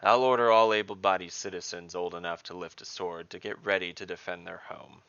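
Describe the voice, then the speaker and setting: Male town mayor, read by a male narrator: pragmatic, authoritative, forceful, fantasy audio drama. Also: sits low, fairly even intonation, a little fast, clear, resonant tone, dry recording, dull audio. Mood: decisive, grave. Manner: formal, commanding.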